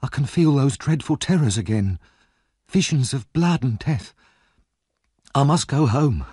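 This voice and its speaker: A man reading aloud and voicing a frightened, tearful young woman who pleads in a husky, lively voice. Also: medium pitch, a little slow, no echo, clean studio sound, voice pushed up. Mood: despairing. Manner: breathless, fearful pleading.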